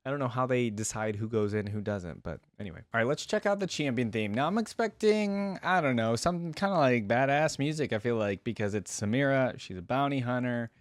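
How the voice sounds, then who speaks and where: A man giving casual reaction commentary in an informal way, as if thinking out loud. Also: mid-range voice, animated, brisk, few pauses, dry recording. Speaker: reaction video host. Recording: music playing behind the voice. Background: game music and character voice lines.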